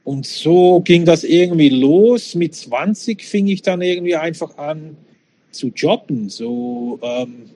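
A man's voice: on the high side, slow pace, warm tone, slight background noise. A man in his fifties from Switzerland, not a native German speaker, reminiscing casually and candidly as an interview guest. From Switzerland, born in Czechoslovakia. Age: 50s.

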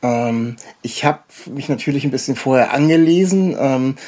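A man's voice: medium pitch, normal speed, darkish tone. A man hosting a podcast in a casual interview style, feeling his way through his phrasing. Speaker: podcast host.

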